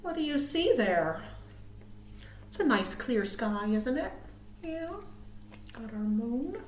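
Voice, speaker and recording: A woman reading aloud to small children as a storytime host, cheerful and expressive. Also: a little high, slow pace, slight background noise. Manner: cheerful, expressive, asks listeners questions. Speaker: children's librarian hosting storytime. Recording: roomy, echoey sound.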